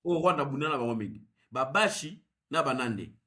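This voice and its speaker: A man, explaining history in a measured, analytical interview answer. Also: medium pitch, animated, a bit bright. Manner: measured and explanatory, mixing French with Lingala. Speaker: guest researcher.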